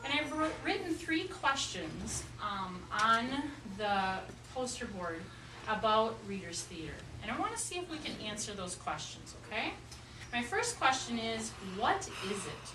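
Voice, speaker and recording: Female primary teacher, patient question-and-answer delivery, raised pitch, roomy distant classroom sound. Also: very animated, measured pace. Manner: patient, repeats pupils' answers back. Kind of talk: classroom lesson, questioning young children. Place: classroom with children. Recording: echoey classroom, distant mic, noisy.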